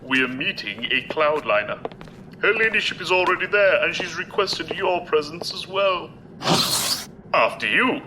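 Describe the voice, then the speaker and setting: Polite butler type man, proper n deferential, really crisp bright tone, very lively intonation, radio play. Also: a little high, moderate pace, slight background noise, poor audio. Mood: polite, dry. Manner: formal, deferential, precise. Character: courteous, formal, loyal male aide to noblewoman. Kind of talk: audio drama acting.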